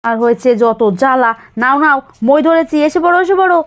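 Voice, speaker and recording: A high-pitched, fretful village grandmother voiced by a woman from a children's doll cartoon. She scolds protectively and repeats her orders as she fusses over her grandchildren. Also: fairly quick, close to an everyday voice. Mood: fussing.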